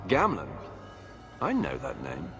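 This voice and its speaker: An actor playing a gruff, weary guard captain in a video game, blunt and dismissive with an air of authority. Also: male voice, low-pitched, wildly swooping pitch, slow, fairly bright tone, soft background music, heavily acted. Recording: long hall reverb, background ambience noise.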